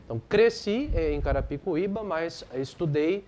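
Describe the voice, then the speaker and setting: A man's voice: on the high side, expressive, normal speed, darkish tone, slight background noise. A young man in his twenties, a parish priest chatting as a guest, laughing easily and repeating his phrases. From Brazil. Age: 20s. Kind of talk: casual interview chat.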